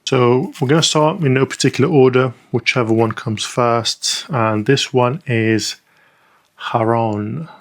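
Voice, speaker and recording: A man's voice: medium pitch, a little slow. A man reviewing perfume samples in a casual, chatty way, thinking aloud with lots of ums and small restarts. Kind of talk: casual first-impressions review.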